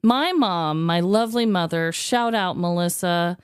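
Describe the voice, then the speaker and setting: A woman co-hosting a podcast in casual, comedic chat, playful and expressive. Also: on the low side, unhurried, smooth, no echo, studio quality. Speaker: podcast co-host.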